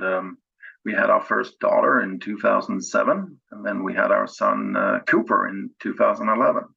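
A middle-aged man from Sweden with a Swedish accent, telling his own story as a podcast guest in a halting way, with many fillers and restarts. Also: on the low side, gravelly, sharp tone, slightly rough. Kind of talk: candid personal storytelling. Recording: dull lo-fi sound.

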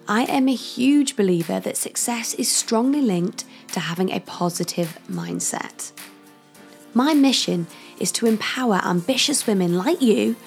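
A woman hosting her own podcast as a career coach, talking in a chatty, upbeat way straight to the listener and laughing often, her voice still slightly croaky from a cold. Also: medium pitch. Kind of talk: casual solo podcast monologue.

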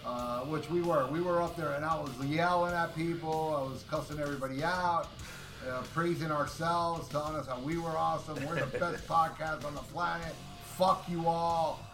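A man co-hosting a podcast, talking in a loud, powerful voice in a brash, profane, boastful way. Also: on the high side, steady tone, normal speed, roomy sound, music in the background, poor audio. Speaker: podcast co-host. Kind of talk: casual podcast chat.

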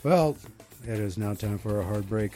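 A man's voice: fairly low pitch, expressive, normal speed, music in the background. A man hosting a radio show in a studio, chatting casually and reading news items aloud with frequent restarts.

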